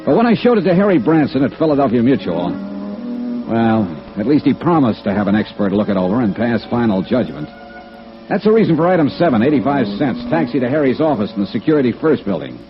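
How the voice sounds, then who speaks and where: A man's voice: medium pitch, big pitch swings, quick pace, close to an everyday voice. A man acting a hard-boiled, wry freelance insurance investigator with an American accent and clipped delivery in a 1950s radio drama. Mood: wry, businesslike. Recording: muffled vintage radio recording, faint music.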